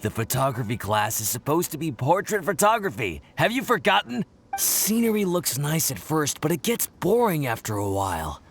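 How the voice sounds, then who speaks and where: Earnest, animated, higher-voiced crewmate. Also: male voice, slight background noise. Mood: insistent, reproachful. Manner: brisk, matter-of-fact, respectfully insistent. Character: earnest, dutiful male ship's crewmate, insistent organizer. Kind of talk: video game character dialogue.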